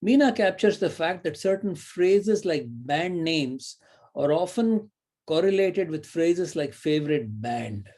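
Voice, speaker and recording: A middle-aged man lecturing in a mellow, warm voice that sits a little high for a man. He rambles and thinks aloud, with fillers and "right?" tags. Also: animated, slow pace. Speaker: university lecturer. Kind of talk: recorded lecture.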